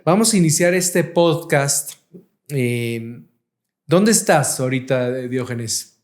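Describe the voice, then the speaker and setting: A man's voice: medium pitch, lively intonation, slow pace. A man hosting a casual real estate podcast and introducing his guests with effusive praise and frequent fillers. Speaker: podcast host, realtor.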